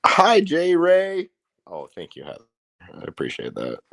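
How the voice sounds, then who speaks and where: A man with an Indiana accent hosting a casual livestream, talking in a rambling, profane, excitable way. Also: fairly high pitch, measured pace, no room sound. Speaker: livestream host.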